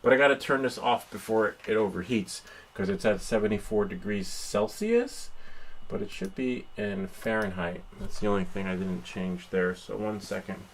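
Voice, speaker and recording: A man's voice: lowish voice, moderate pace. Guy doing a casual how-to vlog at home, kinda rambling and muttering, jokes about himself, bit of noise behind him. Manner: playful, self-deprecating, joking. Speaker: host of a drone-flying how-to vlog. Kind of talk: casual live commentary while flying. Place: indoors in a house. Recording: constant background noise in room.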